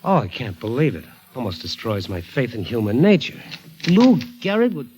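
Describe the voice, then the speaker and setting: An actor playing a loyal, wisecracking friend who also narrates, in a 1940s radio drama. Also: male voice, mid-range voice, wildly swooping pitch, a little mellow. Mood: jovial. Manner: brisk, jovial. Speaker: narrator and character.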